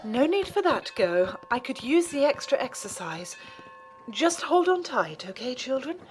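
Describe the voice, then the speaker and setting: A woman playing a serene, devout warrior nun, polite, formal and gently superior, in an audio drama. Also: high-pitched, big pitch swings, unhurried, faint music behind, low-quality recording. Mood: calm, encouraging.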